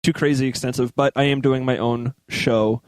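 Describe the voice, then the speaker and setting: A man's voice: medium pitch, fairly even intonation, normal speed, smooth, dry recording. A man chatting casually and bantering.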